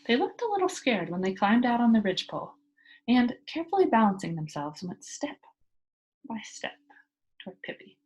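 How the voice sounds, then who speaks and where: A woman reading a children's story aloud in an expressive storytelling style. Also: a little high, normal speed, breathy edge, low-quality recording. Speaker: children's book reader.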